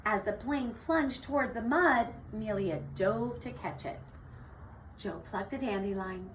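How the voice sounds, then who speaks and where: A woman teacher reading a children's picture book aloud in an expressive, theatrical storytelling manner. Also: medium pitch, a little slow. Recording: distant mic with faint noise.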